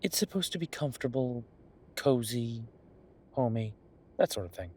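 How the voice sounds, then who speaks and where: A man playing an easygoing, devoted boyfriend who is a small-town chef, talking casually and haltingly in an intimate, reassuring roleplay audio. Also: mid-range voice, expressive, a little mellow, slight background noise, close to an everyday voice. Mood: earnest, reassuring. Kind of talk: intimate conversational roleplay acting.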